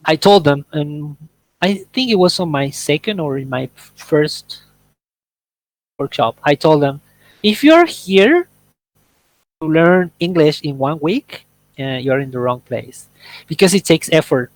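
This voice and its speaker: A man's voice: a little high, expressive, unhurried, long pauses, no echo, poor audio. A young man whose first language is Spanish, leading a casual English conversation workshop and eagerly explaining his points with everyday examples. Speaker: workshop leader.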